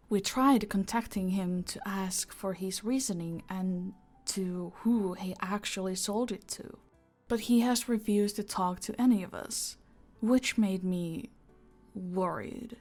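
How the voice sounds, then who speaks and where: A woman playing a calm, dominant female mafia boss in an audio roleplay, teasing and deliberate, often slipping into a breathy whisper. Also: medium pitch. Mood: quietly threatening. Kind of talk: roleplay audio acting, intimate and slow. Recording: music behind the voice.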